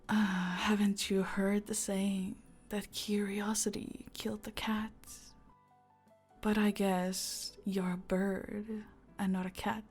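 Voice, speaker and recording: A woman playing a calm, dominant female mafia boss in an audio roleplay, teasing and deliberate, often slipping into a breathy whisper. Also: mid-range voice. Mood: mocking, amused. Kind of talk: roleplay audio acting, intimate and slow. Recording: music behind the voice.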